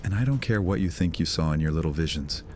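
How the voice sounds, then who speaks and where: Guy doing a super deep brooding vampire outcast, sardonic and defiant, roleplay audio, soft music under it. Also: animated, fast talker. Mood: defiant, annoyed. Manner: sardonic, hesitant fillers turning defiant. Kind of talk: dramatic fantasy audio roleplay.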